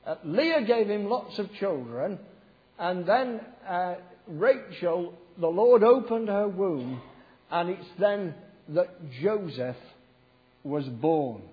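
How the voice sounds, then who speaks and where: A middle-aged man, croaky from a cold, preaching a chatty, joking sermon to a congregation. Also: high-pitched, lively intonation, takes it slowly. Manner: chatty, joking preacher leading the congregation in reading a verse aloud. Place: church. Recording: dull sound with long hall reverb.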